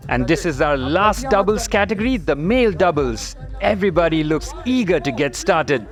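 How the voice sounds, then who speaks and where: A man with an Indian English accent presenting and commentating a fitness race in an upbeat, excitable way. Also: fairly high pitch, normal speed, over music. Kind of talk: scripted sports commentary.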